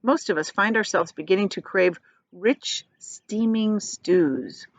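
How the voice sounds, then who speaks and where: A woman reading a children's novel aloud as a teacher would, in expressive storybook narration. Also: medium pitch, slow pace, gravelly, no echo.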